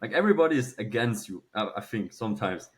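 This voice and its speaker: A man, telling a personal story casually and haltingly, with some swearing. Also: lowish voice, expressive. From Switzerland. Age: early 20s. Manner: halting, informal, swears freely. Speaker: podcast guest, young entrepreneur.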